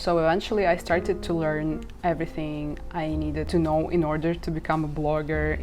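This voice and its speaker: A woman in her twenties, from Ukraine and a native Russian speaker, talking candidly to camera about earning money online, laughing at herself now and then. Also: sits low. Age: 20s. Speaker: vlogger. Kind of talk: casual talk to camera. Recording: guitar music playing behind.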